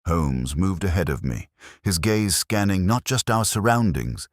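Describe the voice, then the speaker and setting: A man's voice: deep voice, lively intonation, a little slow, no room sound, clean studio sound. A man reading a Victorian detective story as scripted dramatic narration. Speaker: audiobook narrator.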